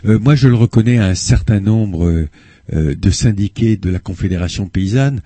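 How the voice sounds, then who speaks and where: Older man, dark husky voice, measured. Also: fairly low pitch, no echo. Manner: measured, hesitant with frequent "euh", explanatory. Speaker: spokesman of an environmental association, radio guest. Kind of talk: earnest radio interview answers. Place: radio studio. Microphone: studio microphone.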